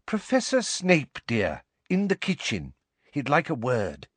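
A male narrator puts on a kindly, middle-aged mother from a storybook, pitched up high. She is brisk and fussing, coaxing with a reproving edge. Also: huge pitch swings, husky, no room sound, heavily acted. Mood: briskly reproving.

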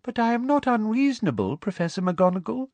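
Guy doing smug older lady school official for an audiobook, sugary n prim, high swoopy voice. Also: sing-song, fairly quick, darkish tone, no echo. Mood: sugary triumph. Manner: prim, self-satisfied, precise. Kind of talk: audiobook character voice.

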